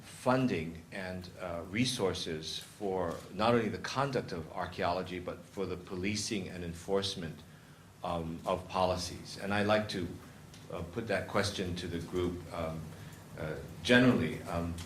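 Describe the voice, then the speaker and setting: A man with a very deep voice introduces speakers and asks questions at a conference, halting often on ums and uhs. Clear background noise fills the hall around him.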